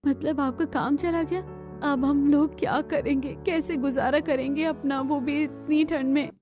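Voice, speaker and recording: A woman's voice: sits high, fairly even intonation, unhurried, over music. A woman voicing a practical, hopeful young daughter-in-law of a poor family in a cartoon story. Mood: worried.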